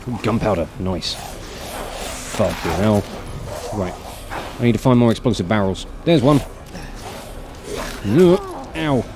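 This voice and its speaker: A man's voice: lowish voice, sing-song, takes it slowly, pauses often, background noise. A man in his thirties giving casual, exasperated, sweary gameplay commentary as he streams, close on the mic indoors. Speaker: video game streamer. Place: indoor room, seated at gaming setup. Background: game music and sound effects.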